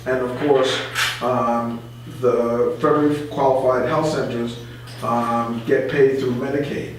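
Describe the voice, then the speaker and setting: A man explaining things in conversation as a guest, halting and deliberate as he works through his points. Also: medium pitch, flat delivery. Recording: distant, very echoey room, faint noise.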